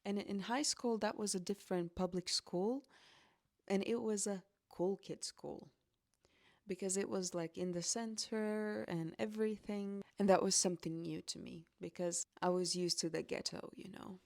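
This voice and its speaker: A woman's voice: mid-range voice, steady tone, moderate pace, vocal fry, darkish tone, no echo, studio quality. A young woman from Latvia hosting her own podcast, telling her life story in an earnest, reflective way. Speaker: podcast host. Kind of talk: personal storytelling monologue.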